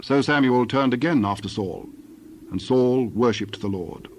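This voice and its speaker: A man narrating a dramatized scripture reading in a measured, solemn, scripted manner. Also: medium pitch, a little hiss or hum.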